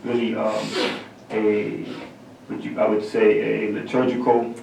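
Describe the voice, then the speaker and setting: A man, a drummer appearing as a guest, answering thoughtfully and haltingly with many fillers and restarts. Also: lowish voice, completely flat delivery, creaky, darkish tone, slight background noise. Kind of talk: interview answers. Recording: roomy sound from a distant mic.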